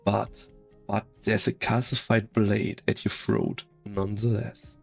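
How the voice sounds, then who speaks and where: A man's voice: lowish voice, flat delivery, takes it slowly, gravelly, soft background music, full character voice. A man playing the grave narrator of a second-person sci-fi story in an amateur fan dub. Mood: grave, suspenseful.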